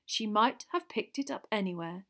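A woman's voice: medium pitch, animated, moderate pace, natural voice. A woman voicing a reasonable, protective male wizard, firm and reasoning, in a storybook reading.

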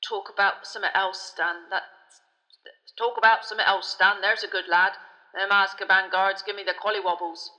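A woman voicing a dour, older male bus driver, terse, grunting and slow, as an audiobook character. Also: mid-range voice, flat delivery, sharp tone, lightly acted, poor audio. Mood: uneasy.

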